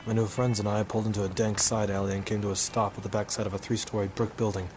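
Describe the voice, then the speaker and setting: A man's voice: fairly low pitch, little pitch movement, fast talker, background noise, close to an everyday voice. A man playing a hard-boiled, sardonic photographer turned sleuth in a 1950s noir radio drama, with wry, tough-talking delivery. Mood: wry narration.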